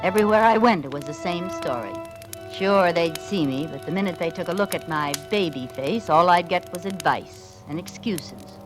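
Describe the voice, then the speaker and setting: Woman playing a cynical low-voiced young grifter, animated. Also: close to an everyday voice. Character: cynical baby-faced young actress turned scheming grifter. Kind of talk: 1940s radio drama first-person narration.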